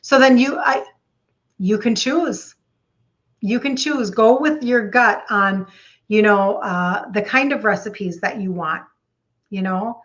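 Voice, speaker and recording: A woman in her fifties with a Minnesota accent, hosting a casual live stream and chatting excitably with viewers by name. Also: mid-range voice, slow, highs cut off. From Minnesota, United States. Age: 50s. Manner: excitable, gushing, repeats phrases for emphasis. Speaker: live stream host, health coach.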